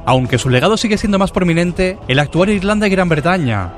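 A man reading a scripted history narration in an even, measured way over background music. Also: high voice. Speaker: documentary narrator.

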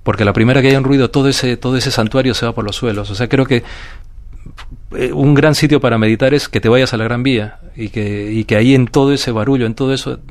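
A man with a Peruvian accent, a guest speaking calmly and reflectively in an interview, often restarting and asking "you know?". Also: mid-range voice, fast talker. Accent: Peruvian Spanish. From Peru. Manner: calm, thoughtful, many restarts and "¿sabes?". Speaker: guest, paranormal investigator.

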